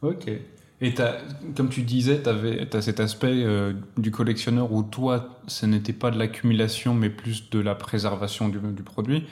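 A man hosting a podcast interview, friendly and conversational, often pausing with hesitant fillers. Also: on the low side, steady tone, a little mellow.

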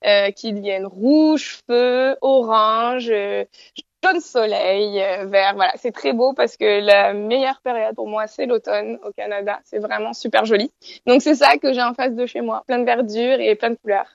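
A woman's voice: on the high side, normal speed, gravelly, muffled recording. A young woman from France, chatting casually as an interview guest from her apartment, with many fillers and restarts.

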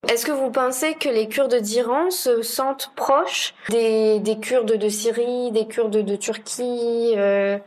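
A woman's voice: on the high side, steady tone, slow, few pauses. A woman hosting, asking composed questions and reading out press headlines. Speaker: interviewer and co-host. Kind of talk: podcast interviewing and reading headlines.

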